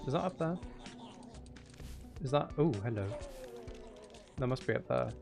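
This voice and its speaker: A man's voice: medium pitch, animated, a little slow, vocal fry, over music. A man streaming a video game, thinking aloud in a casual, playful running commentary. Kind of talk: casual live gameplay commentary.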